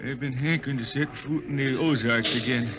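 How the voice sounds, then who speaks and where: A man's voice: medium pitch, lightly acted, poor audio. A man playing a weary, stubborn old spaceman and balladeer, homesick, with a folksy rural American accent in a 1950s radio drama. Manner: folksy, slow, wistful. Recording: vintage radio recording with music behind.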